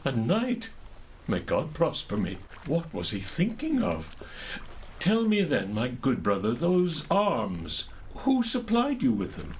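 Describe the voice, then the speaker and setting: An actor playing an eminent, shrewd and avuncular gentleman in an audio drama, with courtly manners. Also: medium pitch, wildly swooping pitch, slow pace, slight background noise. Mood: benign, curious.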